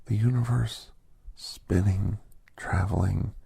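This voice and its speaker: A man voicing a kindly, reflective older doctor in soft, half-whispered ASMR storytelling, with breathy, halting short phrases. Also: on the low side, steady tone, a little hiss or hum, full character voice. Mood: hushed, reflective. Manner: unhurried, pausing between short phrases. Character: older doctor recounting his gentle alien encounters, reflective, kindly.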